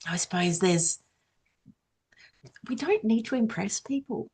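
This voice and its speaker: A woman's voice: medium pitch, animated, a little slow, darkish tone, breathy edge. A woman speaking conversationally as an audio creator taking part in a panel discussion.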